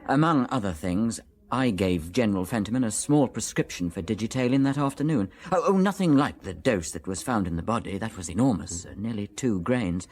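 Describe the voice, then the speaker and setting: An actor playing an uneasy, reserved physician with an English accent and a clipped, hesitant manner in a radio drama. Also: male voice, on the low side, lively intonation, a little fast, few pauses, a little mellow. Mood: worried.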